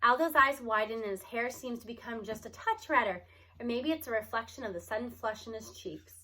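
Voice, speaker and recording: Bright, high-voiced woman reading a story. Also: animated, fairly quick. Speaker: reader of a children's novel. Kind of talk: expressive read-aloud storytelling.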